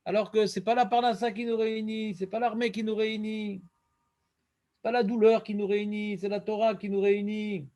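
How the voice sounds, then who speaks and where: Rabbi, man, high voice, lively questioning teacher, quotes. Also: brisk. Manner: lively, questioning, quotes Hebrew verses in a chant-like cadence. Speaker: rabbi teaching a Talmud class. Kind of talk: Talmud lesson.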